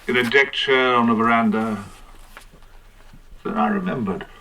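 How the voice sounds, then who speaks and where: A man's voice: mid-range voice, big pitch swings, moderate pace, crowd noise, poor audio. An actor playing a boozy, flippant British man telling his story under questioning in a radio drama, wry, clipped and theatrical. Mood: intense, remembering.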